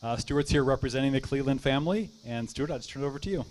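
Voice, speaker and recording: A man emcees an outdoor event, talking quickly as he hands off to the next speaker. He sounds a bit far from the mic, with faint outdoor noise around. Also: medium pitch, a little mellow. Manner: brisk, introduces speakers. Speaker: master of ceremonies.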